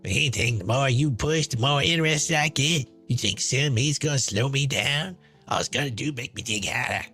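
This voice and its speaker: A man's voice: medium pitch, normal speed, sharp tone, faint music behind, lightly acted. Man voicing a brash, sneering, venomous trash-talking woman. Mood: boastful. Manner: sneering, profane, boastful, mocking. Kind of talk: dramatized character monologue.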